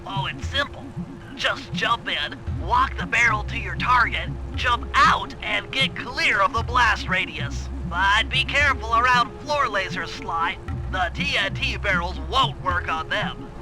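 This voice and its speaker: A very high, squeaky male voice for a nerdy young cartoon turtle. He speaks like a pedantic gadget expert in a video game, with music playing behind him. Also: lively intonation, unhurried, rough recording. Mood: instructive. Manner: pedantic, technical phrasing.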